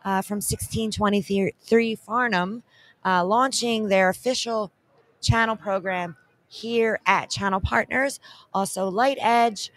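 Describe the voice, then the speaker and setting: A middle-aged woman co-hosts a wrap-up from an expo booth set, speaking into a handheld mic. Her voice sits at a middle pitch, and she talks in a bright, promotional way full of thank-yous and shout-outs. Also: steady tone, takes it slowly. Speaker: co-host. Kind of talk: event wrap-up chat.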